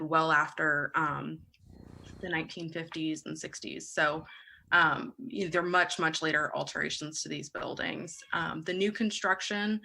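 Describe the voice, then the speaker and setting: A woman answering questions as a staff planner at a public meeting, explaining facts in a careful, measured way. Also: deep voice, gravelly, bright, forceful tone, a little hiss or hum, low-quality recording.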